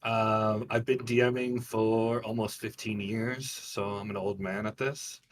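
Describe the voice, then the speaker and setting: A man's voice: lowish voice, little pitch movement, a little slow. A man chatting casually as a guest game master on a stream, modest and a little nervous. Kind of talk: casual chat.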